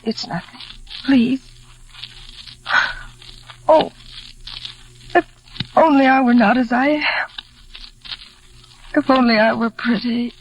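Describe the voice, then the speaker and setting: A woman playing a shy, gentle, easily hurt young woman in a hesitant, apologetic 1940s radio drama style. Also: fairly high pitch, slow, long pauses, a little hiss or hum. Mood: hurt, wistful. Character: shy, self-conscious plain young woman, gentle and wounded. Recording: dull vintage radio broadcast with music.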